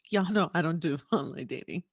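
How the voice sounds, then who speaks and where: A woman's voice: on the low side, expressive, normal speed, gravelly, breathy edge, dry recording. A woman hosting a podcast in casual chat, with a frank, playful and teasing way of talking. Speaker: podcast host.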